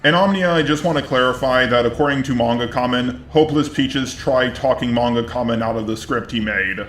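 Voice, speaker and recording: A man giving scripted online drama commentary in a measured, conciliatory way. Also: mid-range voice, fairly bright tone, reverberant. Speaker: YouTube commentator.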